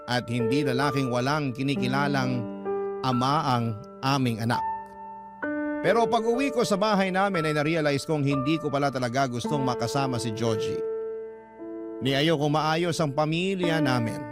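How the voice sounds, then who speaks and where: A man's voice: medium pitch, moderate pace, loud music behind the voice. A man narrating a scripted first-person story as a radio drama host.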